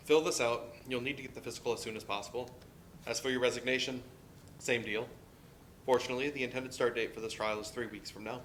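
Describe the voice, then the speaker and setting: A man's voice: medium pitch, fairly bright tone, slight background noise, natural voice. A man acting a stern, by-the-book office boss in a dramatic play reading. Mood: relenting.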